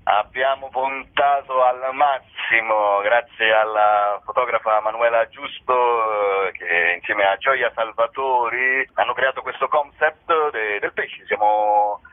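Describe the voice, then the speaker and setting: A man's voice: mid-range voice, takes it slowly, few pauses, no room sound. A man being interviewed as a comedy performer, chatting spontaneously in a hesitant, jokey, rambling way and often correcting himself. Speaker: comedy performer and show presenter, interview guest. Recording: narrow phone-like radio line.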